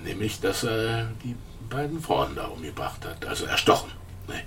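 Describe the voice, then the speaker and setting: An actor playing a shady, evasive informant in a radio drama, with a halting, hemming way of talking. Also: male voice, lowish voice, very animated, pushed and bright, a little hiss or hum, heavily acted. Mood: shifty, confiding. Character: shady, evasive pub-going male informant, eager and shifty. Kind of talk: naturalistic German radio drama acting.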